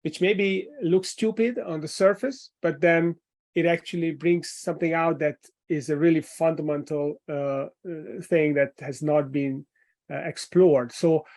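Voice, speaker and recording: A man from Hungary hosting a business podcast, asking questions with frequent pauses and fillers. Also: on the high side, darkish tone. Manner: hesitant, many uh fillers. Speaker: podcast host. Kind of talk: interview questions.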